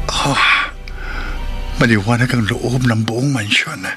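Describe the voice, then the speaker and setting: A man's voice: medium pitch, fairly even intonation, slow, sharp tone, faint music behind. An actor playing a frightened male taxi driver in a horror radio drama, stammering and talking to himself. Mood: uneasy, wary. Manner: stammering, breathless, self-narrating.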